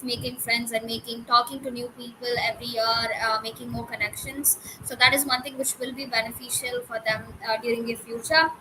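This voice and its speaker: A woman's voice: high voice, sharp tone, a little hiss or hum, poor audio. A girl in her early teens giving an earnest answer as a student panelist, thinking aloud with some hesitation.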